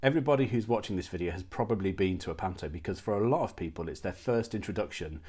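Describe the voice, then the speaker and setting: A man's voice: sits low, quick pace, mellow, husky. A man presenting and interviewing as a channel host in a casual, enthusiastic style.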